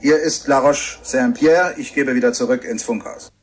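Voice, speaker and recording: An earnest reporter in a radio play, voiced by a male actor. He has a mid-pitched, husky voice and delivers his words as a live news report. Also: normal speed, close to an everyday voice. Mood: earnest, dramatic. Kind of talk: reporting, radio drama acting.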